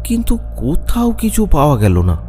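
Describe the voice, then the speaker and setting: A man's voice: a little high, huge pitch swings, fairly quick, music in the background. A man narrating a scripted horror story with dramatic storytelling delivery.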